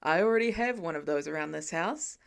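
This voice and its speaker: Woman voicing a deadpan, sarcastic man, pitched down. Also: a little fast, creaky, clean tone. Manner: dry, flat, deadpan. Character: deadpan sarcastic grown man, doting father superhero.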